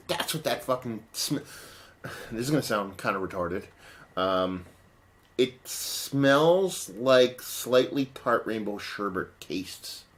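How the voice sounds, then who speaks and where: Male beer vlogger, low voice a bit hoarse, loose rambling delivery with casual cursing. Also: fairly bright tone. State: partly lost voice, lower than usual. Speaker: beer reviewer. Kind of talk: casual beer review vlog.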